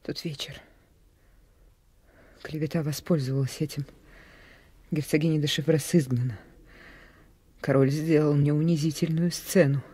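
Husky, low-pitched, sorrowful queen. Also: steady tone, normal speed, long pauses, mellow. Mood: reproachful. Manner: solemn, regal, restrained. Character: dignified, sorrowful queen resisting forbidden love. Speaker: radio drama actress. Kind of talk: theatrical radio drama acting. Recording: radio play with music underneath.

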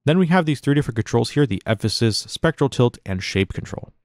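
A man narrating a casual step-by-step software tutorial in an informal, explanatory way. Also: mid-range voice, animated, fast talker, no echo, clean studio sound.

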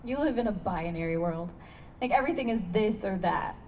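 A woman in her twenties playing a sharp, sardonic, bookish young woman in a staged reading, pointed and challenging. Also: mid-range voice, expressive, fairly quick, natural voice. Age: 20s. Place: outdoor stage against a block wall. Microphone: handheld stage microphone, heard from afar. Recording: distant handheld mic, noticeable background noise.

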